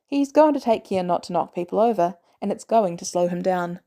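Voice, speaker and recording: A woman reading fiction aloud as an audiobook narrator, in an expressive storytelling style. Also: lowish voice, brisk. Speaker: audiobook reader.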